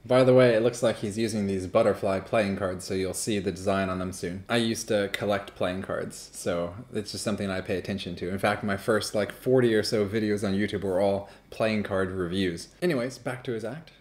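A man's voice: lowish voice, fairly even intonation, brisk, few pauses, darkish tone. A man in his thirties chatting casually to viewers as he reacts to a magician's TV act, with easygoing asides.

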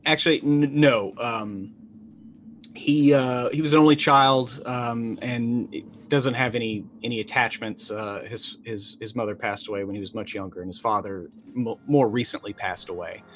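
A man in his forties playing a tabletop game, thinking aloud haltingly as he describes his character. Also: medium pitch, fairly even intonation, fairly bright tone, slight background noise. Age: 40s. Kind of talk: improvised third-person character description.

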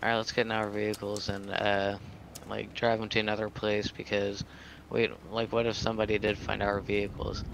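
Low, flat, creaky-voiced man gaming. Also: fairly quick, a little hiss or hum. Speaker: role-play gamer. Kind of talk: improvised in-character chat while gaming.

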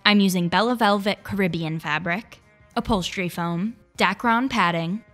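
A woman's voice: mid-range voice, moderate pace, fairly bright tone. A young woman hosting a craft tutorial, reading scripted step-by-step instructions. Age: young adult. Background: background music.

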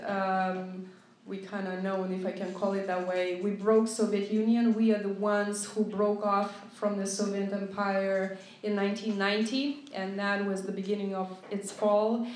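A woman in her thirties from Lithuania with a Lithuanian accent, a motivational speaker telling her story earnestly to a group, with many fillers and soft laughs. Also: mid-range voice, fairly even intonation, moderate pace, a little hiss or hum. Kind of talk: inspirational talk to a group. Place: meeting room at a friend's house. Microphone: phone voice recorder app. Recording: echoey room on a phone recorder.

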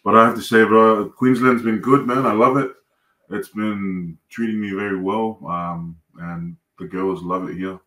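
A man in his thirties from Tonga with a mixed Pacific Island and Australian accent, telling his life story as a podcast guest in a relaxed, laughing way over a video call. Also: lowish voice, a little slow. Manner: laid-back storytelling with frequent laughter. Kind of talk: casual chat. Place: home room.

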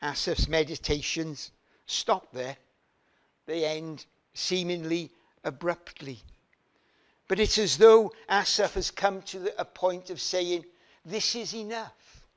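Slow, emphatic older man preaching. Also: sits high. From Wales. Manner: slow, emphatic preaching with rising repeated phrases and hand gestures. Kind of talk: sermon. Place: church hall, standing at a lectern.

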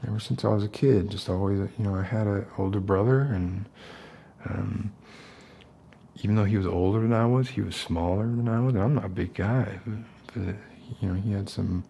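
A middle-aged man acting an unstable, falsely gentle survivalist in a soft-spoken ASMR roleplay, rambling haltingly. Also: fairly low pitch, gravelly, a little hiss or hum. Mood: tender, earnest. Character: unstable, falsely gentle self-appointed protector, survivalist loner.

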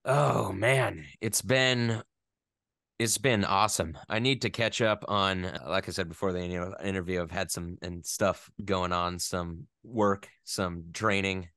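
Lively, low-voiced American man. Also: a little slow, vocal fry, no echo, studio quality. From American West Coast. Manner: rambling, animated, self-deprecating. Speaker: voice actor guest. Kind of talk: casual interview chat.

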